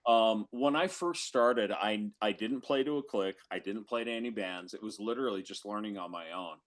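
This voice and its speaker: A man's voice: fairly low pitch, moderate pace. Middle-aged man chatting as podcast guest. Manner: informal, says bro and like often. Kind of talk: casual chat.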